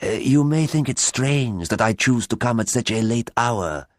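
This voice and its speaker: A man voicing a mysterious, scheming woman in a storybook, with a husky, silky voice and a foreign accent. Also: mid-range voice, lively intonation, no room sound, studio quality, pitched above the performer's own voice, natural voice. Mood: seductive, mysterious. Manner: silky, slow, deliberate.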